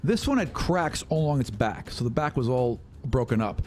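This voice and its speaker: A man working as game master, improvising casual narration for a tabletop game in a halting, conversational way. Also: medium pitch, sing-song, noisy background. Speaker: game master of an actual play podcast.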